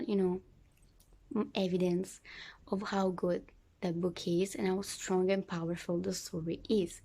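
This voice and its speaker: A woman's voice: fairly low pitch, gravelly, warm tone. A young woman whose first language is Italian, chatting casually about a book in a reading vlog, with many fillers and restarts. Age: young adult. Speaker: book vlogger.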